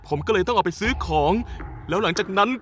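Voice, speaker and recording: A man voicing a jittery young village repairman in a TV cartoon, excitable and easily scared. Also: high-pitched, quick pace, very noisy, lightly acted. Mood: insistent. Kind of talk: Thai TV cartoon voice acting. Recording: music bed under dialogue.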